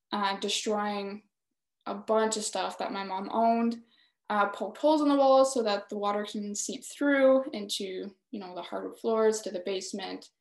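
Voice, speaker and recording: Young woman artist, hesitant, laptop mic in an echoey room. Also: medium pitch. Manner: hesitant, many ums and "essentially". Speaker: exhibiting artist. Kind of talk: panel discussion. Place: home room, indoors. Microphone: video call laptop mic.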